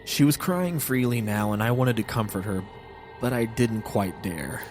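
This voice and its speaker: A man's voice: fairly low pitch, normal speed. A man reading a scripted first-person horror story as a solemn, literary narrator. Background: music.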